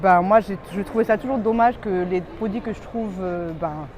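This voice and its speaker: A woman from West Africa answering interview questions casually, pausing to search for her words. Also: medium pitch, highs cut off. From born in Belgium, grew up in West Africa. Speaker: entrepreneur being interviewed. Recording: noisy urban ambience behind voice.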